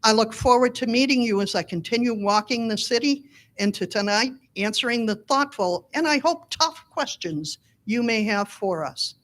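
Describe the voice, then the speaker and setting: A woman's voice: on the high side, expressive, moderate pace. A middle-aged woman delivering a prepared campaign speech as a candidate for city council.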